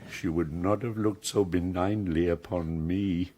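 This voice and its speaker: A man's voice: low-pitched, fairly even intonation, unhurried, warm tone, slight background noise. An actor in a gothic radio drama playing a tormented, deformed man-made monster, lonely and vengeful, eloquent and theatrical. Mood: bitter reflection. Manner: brooding, eloquent, theatrical, dropping into whispers.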